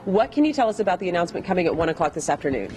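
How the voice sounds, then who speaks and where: A woman's voice: fairly low pitch, very animated, very fast, a little mellow. A woman working as a live TV news reporter, interviewing on location in a chatty, conversational, playful way. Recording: faint background noise.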